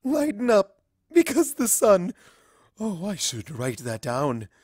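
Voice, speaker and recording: A woman's voice: mid-range voice, huge pitch swings, takes it slowly, darkish tone, slightly rough, no room sound, studio quality, exaggerated voice. A woman voicing a regal mother pony princess who is drunk, pompous and theatrical. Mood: tipsy, merry. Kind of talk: acted fan fiction dialogue.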